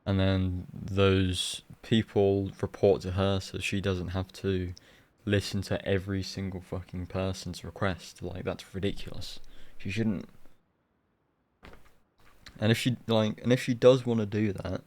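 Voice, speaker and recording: Low-voiced man rambling as he streams. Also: level tone, a little slow, darkish tone. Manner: rambling, sweary, opinionated. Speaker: game streamer. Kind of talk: casual live playthrough commentary.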